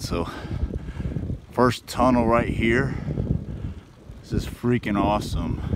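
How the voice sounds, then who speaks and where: A man chatting casually and excitedly to viewers as he films himself riding a bicycle. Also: fairly low pitch. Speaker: vlogger narrating his bike ride. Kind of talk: casual first-person vlog chat. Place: riding outdoors and through a long road tunnel. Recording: loud wind and ride noise on mic.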